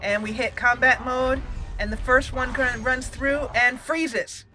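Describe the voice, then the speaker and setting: A woman's voice: sits high, fairly even intonation, unhurried, bright, forceful tone, faint music behind. A woman giving casual let's play commentary as a channel host, thinking aloud and repeating words as she goes.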